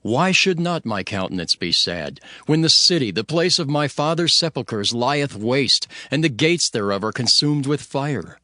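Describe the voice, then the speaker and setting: A man's voice: medium pitch, animated, a little slow, few pauses, sharp tone, no echo, studio quality. A man giving a dramatic scripted narration of scripture, solemn and declamatory. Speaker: Bible narrator, voicing Nehemiah. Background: music.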